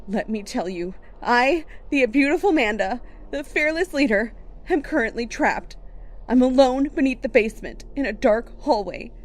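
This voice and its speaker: Higher-pitched, jittery, self-dramatizing woman played by an actress. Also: animated, moderate pace, lightly acted. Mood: theatrical bravado. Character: self-important, jittery young woman documentary maker trapped underground. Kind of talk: horror audio drama acting, narrating to listeners.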